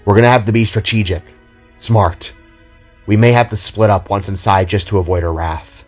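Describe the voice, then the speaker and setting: A man voicing an earnest, worried little hero in an audio drama, speaking with deliberate urgency. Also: on the low side, steady tone, soft background music, lightly acted. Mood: determined.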